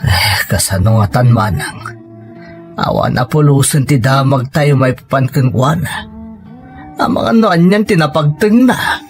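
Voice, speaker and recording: A man's voice: mid-range voice, expressive, a little slow, over music, close to an everyday voice. A man with a northern Philippine accent acting a sorrowful, plaintive ageing father in an audio drama. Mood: anxious. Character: sorrowful ageing father missing his absent daughter.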